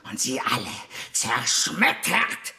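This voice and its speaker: Grandiose, volatile disgraced financier. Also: male voice, mid-range voice, very animated, vocal fry, sharp tone, full character voice. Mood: indignant, boastful. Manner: theatrical, halting, swinging between pleading and boasting. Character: disgraced, grandiose financier, ruthless, self-pitying, imprisoned. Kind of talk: German literary radio drama acting.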